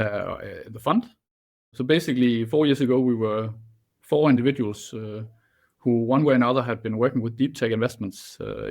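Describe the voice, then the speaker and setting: A middle-aged man with a Danish accent, a guest answering interview questions earnestly, often pausing and restarting. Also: medium pitch. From Denmark. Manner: halting, many fillers and restarts, earnest. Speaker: guest, venture fund partner.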